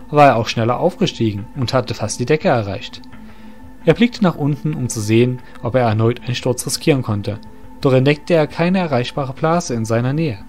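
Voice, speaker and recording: A man's voice: medium pitch, a little mellow. Male audio drama narrator over music. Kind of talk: scripted fantasy narration. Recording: music under the voice.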